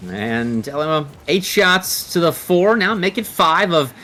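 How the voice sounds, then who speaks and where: Analytical male esports caster, higher voice. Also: lively intonation, unhurried, pushed and bright. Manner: explanatory, speaks in long analytical sentences. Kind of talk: live analytical sports commentary of a video game match.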